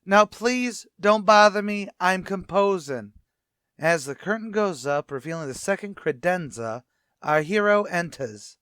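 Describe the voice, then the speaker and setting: A blustery, self-important tavern manager, voiced by a man, in old-time radio comedy style. His wisecracks leap all over the pitch range. The recording is close and dry. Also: lively intonation, a little slow, a bit bright, clear, resonant tone, no room sound. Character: boastful wisecracking male tavern manager, adult.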